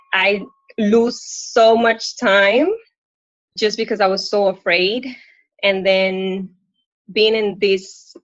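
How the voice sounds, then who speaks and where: A woman from Puerto Rico speaks with a Puerto Rican accent, English her second language after Spanish. She talks slowly and earnestly, often stopping and restarting her sentences. The audio is dry and a little dull, like a lo-fi call recording. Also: mid-range voice, fairly bright tone, dry recording. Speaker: coaching client, working mom. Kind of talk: live coaching conversation.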